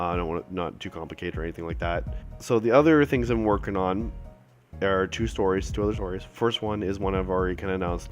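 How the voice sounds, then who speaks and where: A man recording a casual update video, a little raspy from a cold, rambling with many fillers and restarts, apologetic and appreciative. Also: deep voice. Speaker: self-published author giving updates.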